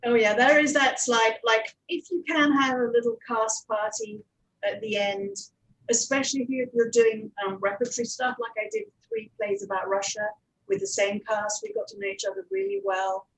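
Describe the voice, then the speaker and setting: A woman with a fairly high voice speaks as a panelist in a casual discussion. She sounds like a working producer, plain and matter-of-fact, chatting rather than presenting. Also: lively intonation, normal speed, vocal fry, slight background noise, low-quality recording. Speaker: radio drama producer, panelist.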